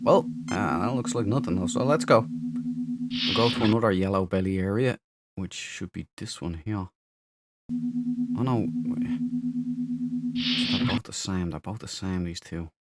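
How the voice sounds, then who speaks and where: A man's voice: low-pitched, a little slow, long pauses, very noisy. A man giving casual, jokey let's play commentary, often muttering to himself. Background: video game music.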